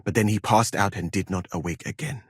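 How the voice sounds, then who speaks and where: A man's voice: fairly low pitch, slightly rough, no room sound, clean studio sound. A man playing a proud, exacting medieval physician in a video game, speaking formally and precisely. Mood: calm, grave. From Mali. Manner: formal, measured, precise wording. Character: proud, exacting foreign male physician in a medieval war camp. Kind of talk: dramatic video game dialogue.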